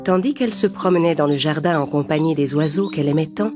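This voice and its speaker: A woman reads a children's fairy tale as narrator. Her voice sits slightly low for a woman. Guitar-like music plays clearly beneath the scripted narration. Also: quick pace. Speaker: storyteller.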